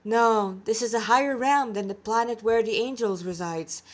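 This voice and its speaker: A woman plays a serene holy sage from a storybook, fatherly and gentle. The voice sits fairly high, and the words come with stately kindness. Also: moderate pace, close to an everyday voice. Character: glowing male sage, kindly, serene, mirthful. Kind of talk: storybook character reading.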